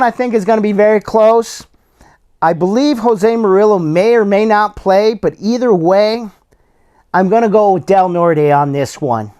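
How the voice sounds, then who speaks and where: Slightly hoarse man hosting a podcast. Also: high-pitched, a little mellow. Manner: casual, plain-spoken, many fillers and restarts. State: slightly hoarse, losing his voice. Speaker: podcast host. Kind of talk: solo sports podcast, bracket predictions.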